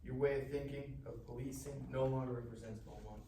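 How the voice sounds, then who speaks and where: A man acts out a stern, fed-up mayor of a small town. He is firm and admonishing, with a medium-pitched voice. The live script read is captured from off in a roomy space with faint noise. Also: steady tone, fairly quick, warm tone, close to an everyday voice. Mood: stern, exasperated. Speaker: actor at a live table read. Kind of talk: live table read screenplay acting. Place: live festival table read in a room. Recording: echoey, distant room mic with faint noise.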